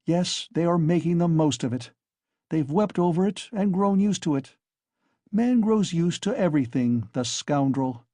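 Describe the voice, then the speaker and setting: A bitter young man mutters his sardonic thoughts half aloud, a touch higher than the reader's own voice, as a male narrator takes him on. The tone is husky and the reading dry and close, in an audiobook. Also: expressive, moderate pace, dark tone, no room sound.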